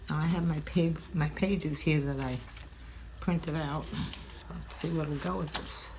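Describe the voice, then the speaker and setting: An older woman hosting a craft tutorial, chatting and thinking aloud as she works, sounding a little stuffy and hoarse from a cold. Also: low-pitched, fairly even intonation, unhurried, darkish tone, rough recording. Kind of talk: relaxed craft demonstration. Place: craft table at home, indoors. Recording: audible crinkling craft noise.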